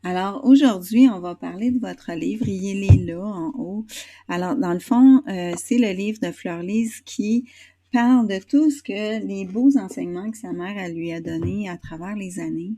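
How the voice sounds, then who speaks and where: A woman with a Québécois French accent hosting a casual interview, chatty and a little hesitant, pausing on fillers. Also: medium pitch, warm tone, no echo. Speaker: interview host.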